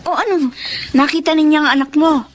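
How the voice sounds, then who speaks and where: An actress playing a feisty, indignant young woman with a sharp, confrontational manner in a Tagalog radio drama. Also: sits high, normal speed, a little hiss or hum, natural voice.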